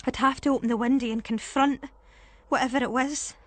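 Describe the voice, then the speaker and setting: A woman with a Scottish accent narrating a first-person ghost story in a suspenseful, expressive way. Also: high-pitched, a little fast, no echo.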